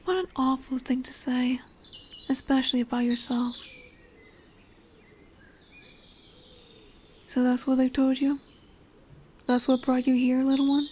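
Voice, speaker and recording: A woman voicing a dominant lamia in a roleplay audio, curious and condescending yet nurturing, speaking deliberately with teasing, soothing near-whispers. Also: high-pitched, monotone, darkish tone, a little hiss or hum, close to an everyday voice. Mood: gentle, indignant. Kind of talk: slow roleplay audio monologue addressed to the listener. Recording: birdsong and woodland ambience behind. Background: birdsong, forest ambience.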